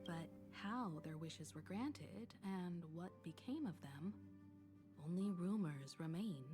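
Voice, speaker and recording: An actress voicing a composed, knowledgeable woman mentor in a story game, speaking in measured, solemn exposition. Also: fairly low pitch, expressive. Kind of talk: scripted game story dialogue. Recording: loud background music. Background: game music.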